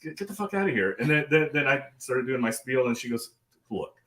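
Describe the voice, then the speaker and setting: An American man speaks quickly in a mid-range voice, spinning loose anecdotes as an interview guest. He rambles, swears casually and stammers over repeated words. The sound is roomy and echoing. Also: medium pitch, expressive, fairly bright tone. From American Midwest. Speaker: guest artist.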